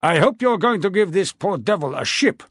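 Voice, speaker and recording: A man voicing an impatient, excitable older king who talks loudly and tags his lines with "what, what?". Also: moderate pace, slightly rough, dry recording, studio quality. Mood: hearty. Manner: booming, emphatic, tags "what, what?".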